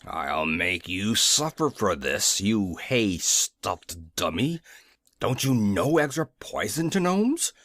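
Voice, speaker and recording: A man's voice: fairly low pitch, big pitch swings, takes it slowly, fairly bright tone, no echo, clean studio sound, pitched below the performer's own voice, exaggerated voice. Man putting on a blustering wicked gnome king. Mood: furious threatening.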